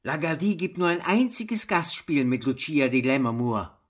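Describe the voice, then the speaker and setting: An actor playing a jovial small-town pharmacist in a radio drama, speaking in an eager, grandiloquent way. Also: male voice, on the high side, sing-song, normal speed.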